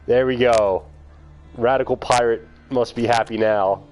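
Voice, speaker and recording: A man's voice: mid-range voice, fairly even intonation, measured pace, darkish tone. A man streaming a video game, chatting casually with his viewers and calling them by name. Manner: chatty, talks to chat viewers by name, laughs often. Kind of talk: casual live-stream commentary.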